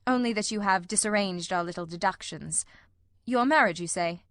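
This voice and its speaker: A shrewd gentleman detective of classic storybook fiction as put on by a woman reader. The delivery is brisk, exact and commanding, with dry wit. The sound is close and dry, at a medium pitch for a female voice. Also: dry recording, lightly acted. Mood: dryly amused. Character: shrewd, self-assured, dryly witty male detective. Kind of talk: audiobook character voice.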